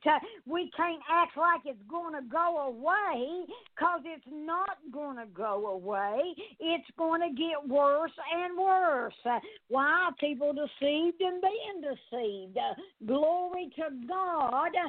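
Lady preacher, high pitched, takes her time, exhorting sermon w lots of little praise interjections, dry sound. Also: no echo, narrow, tinny audio.

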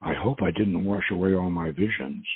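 A man voicing an uneasy, adventurous woman archaeologist in a novel reading, with a husky voice. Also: low voice, normal speed, pitched below the performer's own voice, heavily acted. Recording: muffled phone-like line, roomy echo.

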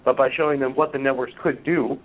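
Hoarse man explaining over a phone line. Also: medium pitch, steady tone. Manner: measured, explanatory, many filler pauses. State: hoarse from a cold. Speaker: guest, nonprofit executive. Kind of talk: conversational interview answers.

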